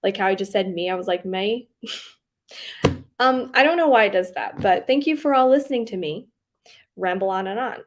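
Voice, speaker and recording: A woman's voice: mid-range voice, animated, normal speed, pauses often, background noise. A young woman hosting a livestream, chatting casually and rambling with lots of fillers, a faint Southern accent slipping into some words. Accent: slight Southern US on some words. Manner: rambling, giggly, lots of likes and ums. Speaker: booktube livestream host. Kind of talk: casual chat reading live comments.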